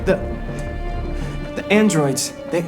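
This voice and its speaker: A man's voice: high voice, expressive, slow. An actor playing a frightened young man in a video game, stammering and hesitant. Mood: scared, nervous. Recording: game audio with music underneath.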